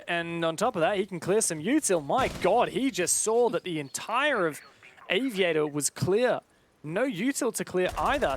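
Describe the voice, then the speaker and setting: A man doing live esports play-by-play commentary, getting excitable on big plays. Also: high-pitched, slight background noise.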